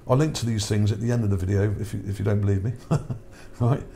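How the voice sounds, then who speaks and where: Low, mellow-voiced man, tiring voice. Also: steady tone, quick pace, gravelly, slight background noise. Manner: rambling, repeats words, checks in with "okay?". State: voice starting to go. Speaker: channel host. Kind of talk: casual narration over images, reading his article.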